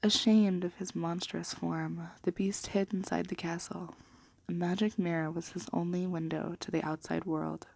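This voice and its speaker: A woman speaking in a gentle, unhurried, hushed and breathy ASMR style, soft-spoken and half whispered, as for bedtime stories. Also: lowish voice, level tone, no room sound. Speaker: ASMR video host and storybook reader.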